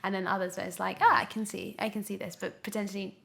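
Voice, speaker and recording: Young female actress as podcast guest, gravelly creak, reflective and halting, conversational delivery. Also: medium pitch, little pitch movement, bright, forceful tone. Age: young adult. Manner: hesitant, reflective, thinking aloud. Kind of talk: candid casual interview conversation.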